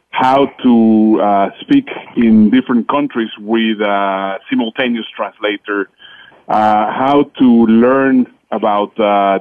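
A man from Mexico, a Spanish speaker talking in English with a Mexican accent, a radio guest who talks with many pauses and fillers. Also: lowish voice, no echo. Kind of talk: radio interview. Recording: tinny phone line.

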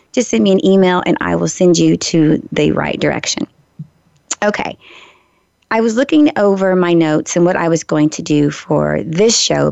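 Woman radio host, hoarse and gravelly, rambling friendly chat, close dry lo-fi sound. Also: on the low side, no echo. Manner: chatty, warm asides, frequent ums and restarts. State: hoarse, recovering from a lost voice. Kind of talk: casual solo talk to listeners. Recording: slightly dull lo-fi radio recording.

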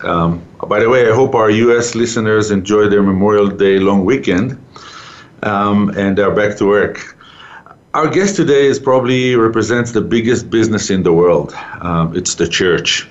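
A man hosting a conversational radio interview in a halting, informal way. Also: fairly low pitch, dull audio. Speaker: talk show host.

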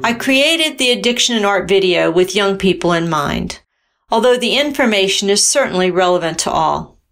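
A woman's voice: medium pitch, animated, normal speed, a bit bright, faint music behind. A woman artist presenting her own work in scripted, explanatory narration.

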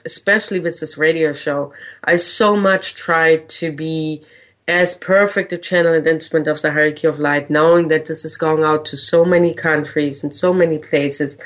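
A woman with a German accent hosting a spiritual radio show, speaking in a gentle, earnest way with slow, drawn-out phrases. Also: fairly low pitch. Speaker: spiritual radio host and channel. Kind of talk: reflective spiritual talk.

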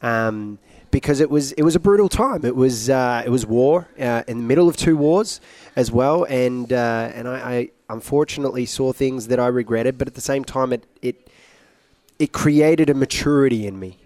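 Young man, British-sounding accent, medium pitch, halting casual storytelling, joking guest, very dry sound. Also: darkish tone, dry recording. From Iraq, later Australia. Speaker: interview guest, singer. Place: at a conference.

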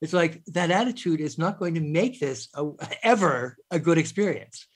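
A man's voice: a little high, lively intonation, moderate pace. A man hosting an interview, chatty and agreeable, filling his talk with "you know". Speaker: interview host. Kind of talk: conversational interview.

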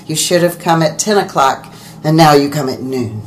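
A woman with a low voice playing a child actor's crow, reciting a nursery rhyme in a theatrical sing-song. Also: normal speed, slight background noise, close to an everyday voice, rough recording. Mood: proud.

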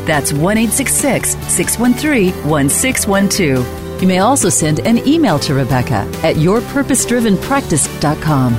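Woman show announcer, lively pitch, clear music bed behind. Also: moderate pace. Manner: bright, clear announcer read. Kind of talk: scripted show intro voice-over.

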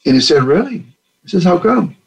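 A man's voice: sits high, very animated, fairly quick, vocal fry, poor audio. An older man from Puerto Rico, a retired general, earnestly telling stories in an interview and acting out the dialogue. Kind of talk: storytelling interview answers.

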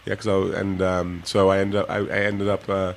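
A man with a fairly low voice tells stories in a relaxed, easy way to young listeners. He laughs now and then as he goes. Faint noise sits behind him. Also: normal speed, gravelly. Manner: relaxed storytelling, laughs often. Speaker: guest, former pro basketball player. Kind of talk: casual interview talk to kids.

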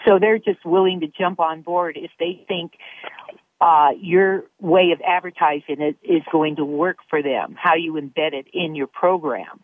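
A woman's voice: sits low, steady tone, a little slow, a little hiss or hum. Talkative woman guest on phone-thin audio. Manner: talkative, explains with examples. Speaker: product placement guest. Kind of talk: casual radio interview. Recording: narrow phone-like line.

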